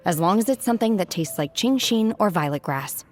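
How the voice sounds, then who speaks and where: A woman voicing an aloof young woman who lives secluded in the mountains, calm, detached and literal-minded, in a game cutscene. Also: on the low side, brisk, natural voice. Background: soft background game music.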